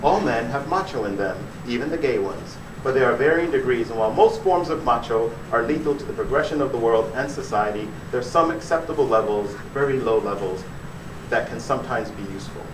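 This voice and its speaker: A man voicing a matter-of-fact woman inventor giving a measured, explanatory quote in a story reading. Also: medium pitch, expressive, close to an everyday voice. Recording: echoey room from far off, noisy.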